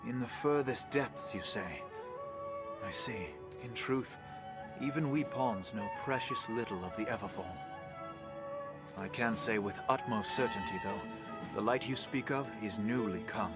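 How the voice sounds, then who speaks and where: An actor playing a solemn keeper of an ancient gateway in a fantasy game, speaking in formal, archaic, grave phrases. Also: male voice, medium pitch, unhurried, over loud music, lightly acted. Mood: grave, resolute. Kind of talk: scripted fantasy game dialogue.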